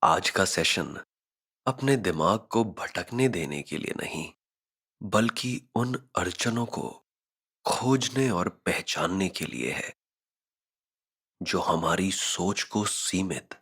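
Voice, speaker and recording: Slow, deep-voiced man guiding meditation. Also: lively intonation, fairly bright tone, breathy edge. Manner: measured instructional delivery with frequent pauses. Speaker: guided meditation narrator. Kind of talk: scripted guided meditation narration.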